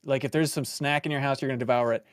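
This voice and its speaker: A man's voice: mid-range voice, fairly even intonation, rapid-fire, no room sound, studio quality. A man in casual podcast chat, asking questions in a self-deprecating way.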